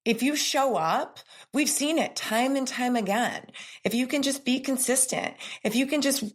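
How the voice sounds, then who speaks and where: A woman's voice: high-pitched, normal speed. A middle-aged woman hosting an interview in a casual, encouraging way, often repeating words as she starts. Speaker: podcast host and gym owner.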